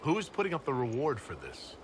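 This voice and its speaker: An actor playing a composed, sympathetic warrior leader in a fantasy game, speaking plainly and politely. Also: male voice, mid-range voice, wildly swooping pitch, fairly quick, noisy background. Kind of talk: game protagonist dialogue.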